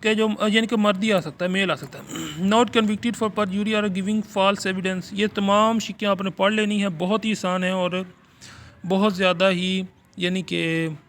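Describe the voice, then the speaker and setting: A man with a Pakistani accent lecturing informally as a teacher, moving between halting reading of notes and quicker explanation. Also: sits high, fairly even intonation, a bit bright. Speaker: law teacher. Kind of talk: informal exam lecture, explaining read-out English notes in Urdu.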